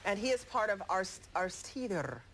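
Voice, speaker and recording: A woman's voice: mid-range voice, very animated, moderate pace, a bit bright, a little hiss or hum. A woman hosting a TV show, chatting casually and expressively in a studio interview. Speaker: television morning show host. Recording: music playing behind.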